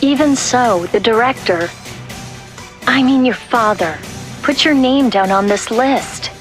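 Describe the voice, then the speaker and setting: A woman's voice: fairly high pitch, expressive, measured pace, soft background music. A woman playing a determined young soldier turned rebel in a game, serious and firm as she explains things. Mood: firm resolve. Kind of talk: dramatic game cutscene acting.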